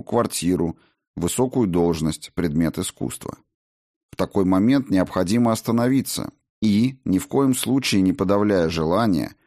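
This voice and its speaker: Male narrator, deep register, dry sound, measured and instructive scripted book reading. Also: a little mellow, dry recording. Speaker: audiobook narrator.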